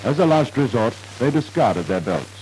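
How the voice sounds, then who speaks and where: A man's voice: medium pitch, big pitch swings, moderate pace, darkish tone, a little hiss or hum, poor audio. A man narrating a scripted 1950s radio drama in a formal, dramatic storytelling manner, on an old radio recording.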